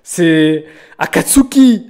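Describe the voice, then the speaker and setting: High-voiced joking young French streamer. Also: male voice, expressive, slow. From France. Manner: joking, slangy, teasing, breaks into loud outbursts. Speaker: streamer reacting to viewers' music. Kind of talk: casual live-stream chat.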